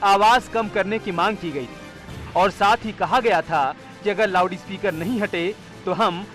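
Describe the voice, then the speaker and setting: A male field reporter narrating a TV news package in a high, lifted voice. His delivery is measured and emphatic, and a faint music bed runs underneath. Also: fairly bright tone.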